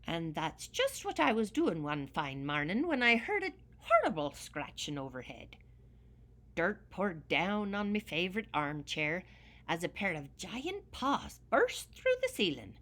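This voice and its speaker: A woman voicing a grumpy little male leprechaun shoemaker with an Irish accent, narrating a storybook in a lively sing-song way. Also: lowish voice, unhurried, heavily acted. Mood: grumbling. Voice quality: brogue-y dialect, very lively sing-song delivery. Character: grumpy old male leprechaun shoemaker, tiny, hoards gold.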